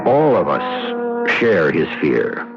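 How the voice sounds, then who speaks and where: A man's voice: sits low, wildly swooping pitch, vocal fry. A man hosting and narrating a radio mystery, with dramatic, ominous, scripted delivery. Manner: dramatic, ominous, deliberate phrasing. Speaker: host and narrator. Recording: dull lo-fi vintage radio recording. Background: music.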